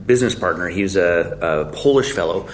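A man's voice: low-pitched, fairly quick, slight background noise. A man in his forties, a guest telling his story in a casual, blunt way, swearing freely and joking at his own expense. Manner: blunt, swearing, self-mocking, chuckling.

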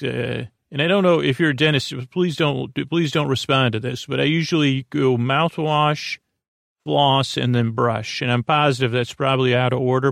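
A man hosting a bedtime podcast in a casual, reassuring, rambling monologue, halting often and trailing off to restart his thoughts. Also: mid-range voice, creaky, a bit bright, no room sound, studio quality. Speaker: podcast host and bedtime storyteller.

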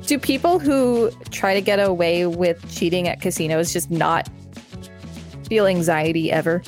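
A woman's voice: lowish voice, moderate pace, darkish tone. Woman co-hosting, faint music behind. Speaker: podcast co-host. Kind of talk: reading an encyclopedia article aloud with casual banter.

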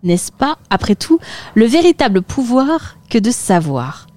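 A woman hosting a podcast, reading a scripted intro and then asking relaxed interview questions. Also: a little high, unhurried, no room sound. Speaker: podcast host.

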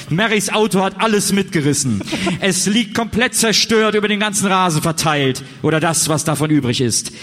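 A man's voice: high voice, a bit bright, in a large hall. A man narrating a comic radio play in a theatrical scripted style.

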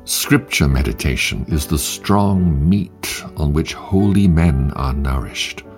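A man hosting a devotional show, reading short quotes in a measured, emphatic way with long pauses. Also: a bass voice, expressive, a little mellow, faint music behind. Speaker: podcast host and devotional reader.

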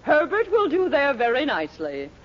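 An actress playing a haughty, bossy, ambitious wife, imperious and sharp-tongued, in a 1940s radio sitcom. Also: female voice, high voice, huge pitch swings, normal speed. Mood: bossy, indignant. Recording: muffled, hissy 1940s radio recording.

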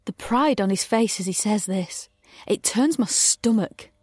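A woman's voice: mid-range voice, measured pace, darkish tone, slightly rough, dry recording. A woman playing a young heroine who confides her inner thoughts as first-person audio drama narration, wry and intimate. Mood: uneasy, confiding. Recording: music underneath.

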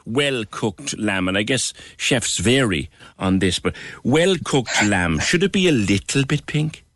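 A man presenting a casual radio chat, chatty and encouraging, with quick asides. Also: on the low side, animated, fairly bright tone, no room sound, studio quality.

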